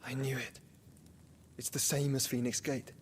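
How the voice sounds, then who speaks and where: An actor playing an intent, brooding scholar in a video game drama, musing aloud in a measured, dramatic way. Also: male voice, mid-range voice, flat delivery, a little hiss or hum, natural voice. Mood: intent discovery.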